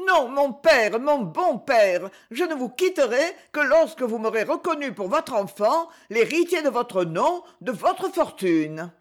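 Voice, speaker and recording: A scheming, fawning boy begs with gushing cries, voiced by a woman reading the story. The pitch sits well above her own and leaps about. It is a storybook reading. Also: big pitch swings, unhurried, hardly any pauses. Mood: pleading. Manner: pleading, gushing.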